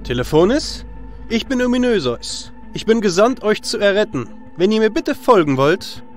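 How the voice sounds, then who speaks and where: A cocky daredevil hero of a fantasy audio drama, acted by a man, with a highish, lively voice. He speaks in formal heroic phrases with flippant asides, over music. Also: moderate pace. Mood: heroic, brisk. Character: cocky, daredevil young male fantasy hero, easily annoyed.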